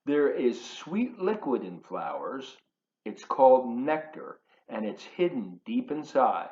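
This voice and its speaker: A man's voice: mid-range voice, big pitch swings, slow, a little mellow. A man reading a picture book aloud to young children as a volunteer, playfully addressing them directly in his living room. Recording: roomy, slightly dull home video sound.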